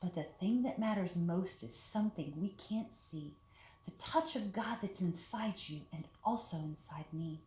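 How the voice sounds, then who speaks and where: A woman leading a children's storytime, talking and reading aloud in simple, deliberate phrases meant for young children. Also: lowish voice, a little mellow. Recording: echoey room with background hiss.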